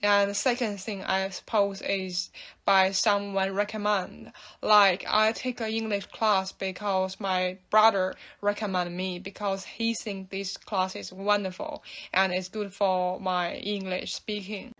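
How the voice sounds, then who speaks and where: Young chinese-accented girl, kinda monotone, slow n halting, searching for words. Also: mid-range voice. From mainland China. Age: young adult. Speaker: student. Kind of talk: casual answers to camera. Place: indoor room.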